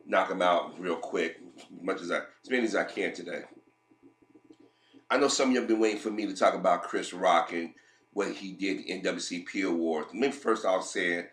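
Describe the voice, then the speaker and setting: A man's voice: sits low, fairly even intonation, brisk, fairly bright tone, echoey room. A man getting over a head cold, giving emphatic commentary with frequent repeats and restarts. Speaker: commentary vlogger. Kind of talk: opinionated commentary.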